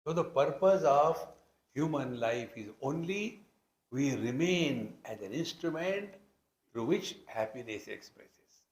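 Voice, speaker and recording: Male spiritual teacher, Indian accent, mid-pitched voice, conversational scripture discourse with emphatic phrasing, roomy echo. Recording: roomy, echoing hall sound.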